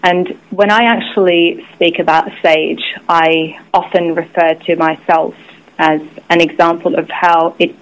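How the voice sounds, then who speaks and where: An aged woman from the East, giving measured, deliberate answers as an interview guest. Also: lowish voice, flat delivery, low-quality recording. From Middle East. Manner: measured, deliberate, speaks in long policy explanations. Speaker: interview guest, gender equity program lead. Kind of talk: explanatory radio interview answers.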